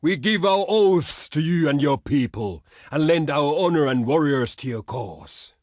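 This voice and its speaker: Proud, slow-spoken barbarian envoy. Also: male voice, on the high side, lively intonation, no room sound, clean studio sound. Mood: gratified, hearty. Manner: declamatory, weighty, formal oratory. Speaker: diplomat character in a strategy game. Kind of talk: theatrical scripted game voice lines.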